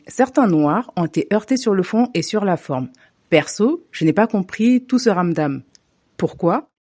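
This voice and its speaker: A woman from Africa delivering a scripted opinion monologue to camera, measured and deliberate with pointed asides. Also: lowish voice, mellow. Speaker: commentator.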